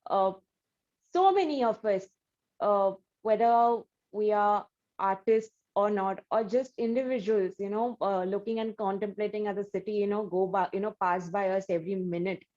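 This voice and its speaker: A woman's voice: mid-range voice, normal speed, a little mellow, dull audio. A woman hosting an interview, asking winding questions with fillers. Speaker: interview host.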